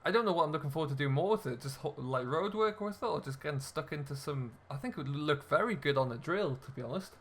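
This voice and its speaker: A man's voice: a little high, animated, quick pace, few pauses, fairly bright tone. A man hosting a gaming video, giving casual role-play commentary as he plays a farming simulator. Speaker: host of a gaming video series.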